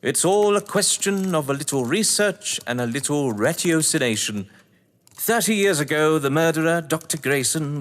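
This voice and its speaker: A man voicing a pompous, majestic English great detective, booming and deliberate, in a dramatic read-aloud of detective fiction. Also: lightly acted. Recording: long reverberant hall echo.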